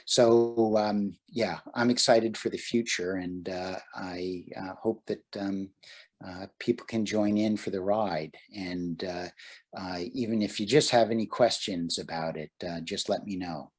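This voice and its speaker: Presenter, male, husky low voice, halting casual delivery, video call audio. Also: steady tone. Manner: hesitant, many fillers and restarts. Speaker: workshop presenter. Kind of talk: casual online talk.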